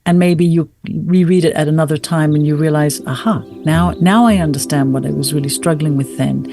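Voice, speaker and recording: A woman speaking as an interview guest, explaining ideas in an articulate, measured and thoughtful way with emphatic pauses. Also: deep voice, fairly even intonation, music in the background. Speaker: writer and podcast guest.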